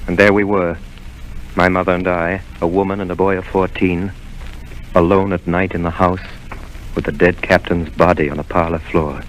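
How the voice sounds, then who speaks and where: A man's voice: sits low, little pitch movement, lightly acted, low-quality recording. An actor narrating as a grown man recalling his boyhood in a 1930s radio drama. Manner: measured, grave.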